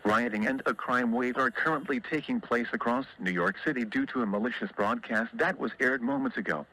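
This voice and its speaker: A man's voice: medium pitch, fairly quick, bright, forceful tone, a little hiss or hum, rough recording. A man formally reading a scripted emergency alert as an announcer.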